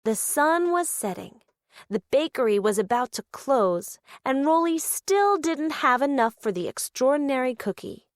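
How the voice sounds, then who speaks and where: Casting: female story narrator for young children, very lively, measured pace, dry studio sound. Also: sits high, no echo. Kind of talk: expressive read-aloud storytelling.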